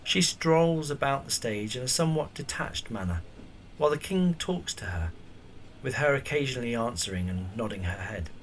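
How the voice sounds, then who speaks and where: A man narrates an audio drama from a script. His voice is medium in pitch, with faint noise behind it. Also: lively intonation, normal speed, poor audio.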